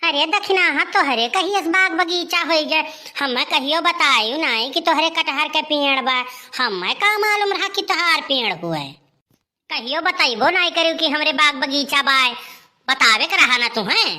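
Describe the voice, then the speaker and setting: A woman's voice: sits high, expressive, normal speed, pushed and bright, full character voice. A woman playing a heavyset, crafty village elder sister in a comedy cartoon, chatty and coaxing, with an Awadhi accent. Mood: teasing, defensive.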